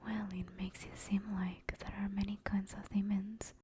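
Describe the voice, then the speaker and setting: A woman playing a female sleep paralysis demon in a whispered ASMR roleplay, soft, intimate and breathy. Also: medium pitch, flat delivery, moderate pace, close to an everyday voice. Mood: gentle, soothing. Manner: soft, intimate, teasing then soothing. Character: female sleep paralysis demon, teasing menace turning apologetic and tender.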